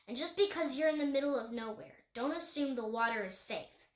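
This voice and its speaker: A woman's voice: high voice, a little fast, close to an everyday voice. Careful, practical guardian woman, read by a woman. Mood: cautioning. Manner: instructive, careful. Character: cautious, practical woman guardian giving safety warnings. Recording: echoey room.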